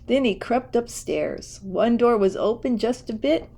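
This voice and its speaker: Slow, expressive woman reading a children's story. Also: on the high side. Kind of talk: children's picture book read-aloud.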